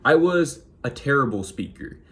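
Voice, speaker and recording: A man in his twenties with a naturally monotone voice, a YouTuber giving motivational advice straight to camera and slowing down to stress key words. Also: medium pitch.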